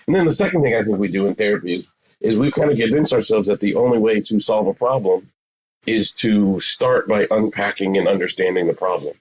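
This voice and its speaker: A man in his forties with a low, slightly rough voice. He talks as a therapist being interviewed, conversational and emphatic, often repeating phrases to drive them home. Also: brisk, darkish tone, poor audio. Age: 40s. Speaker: psychotherapist, podcast guest.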